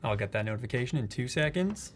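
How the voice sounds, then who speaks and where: A man's voice: medium pitch, animated, quick pace. A man co-hosting a live tutorial, chatting casually and chiming in supportively. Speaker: co-host of a live software tutorial. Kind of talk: casual chat.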